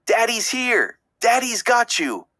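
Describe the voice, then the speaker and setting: A woman's voice: on the high side, big pitch swings, slow pace, fairly bright tone, voice pushed up, heavily acted. A woman voicing an anxious, devoted father fish in a storybook, earnest and tender in manner. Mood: urgent, reassuring. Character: anxious, devoted father clownfish, adult male.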